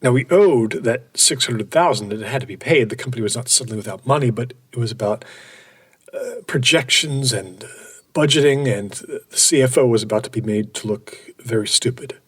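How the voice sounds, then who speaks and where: Audio drama: male actor as burned-out office man in his forties, slightly husky voice, halting confessional narration. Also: mid-range voice, close to an everyday voice. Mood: anxious, halting. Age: 40s. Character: burned-out, anguished middle-aged office man recounting his breakdown.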